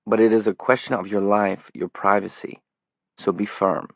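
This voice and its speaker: A man voicing an earnest older male doctor giving measured, emphatic advice. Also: fairly low pitch, level tone, creaky, fairly bright tone, no echo, lo-fi sound.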